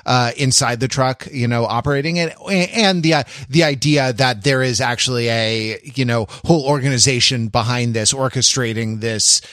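A man's voice: mid-range voice, normal speed, few pauses, fairly bright tone, no echo. A man with a mid-range voice talks casually as a podcast co-host, recorded dry and close. He is wry and a little mock-erudite, wandering through hesitant, restarted sentences. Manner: hesitant, digressive, many restarts.

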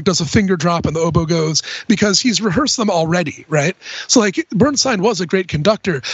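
A man with a fairly high voice talks through ideas in a casual, analytical podcast style. He explains discursively, dropping in asides as he goes. The sound is dry and close, slightly dulled and lo-fi. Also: husky, no echo. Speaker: podcast panelist discussing film and music.

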